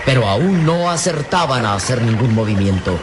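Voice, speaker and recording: A man's voice: medium pitch, animated, moderate pace, noisy background. A man narrating an adventure radio serial in a solemn, suspenseful, theatrical style. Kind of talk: dramatic scripted narration. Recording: old radio serial, loud music behind.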